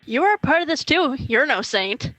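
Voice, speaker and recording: A woman's voice: high-pitched, very animated, moderate pace, a bit bright, low-quality recording. A young woman playing an inquisitive, hesitant friend in an amateur radio play. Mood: curious.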